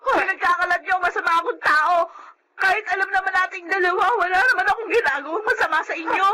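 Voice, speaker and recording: A woman's voice: extremely high pitch, quick pace, very noisy. A woman playing a hurt, defiant, anxious young Filipino granddaughter, protesting emotionally in a melodrama. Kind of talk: melodramatic drama acting. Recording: loud music under dialogue.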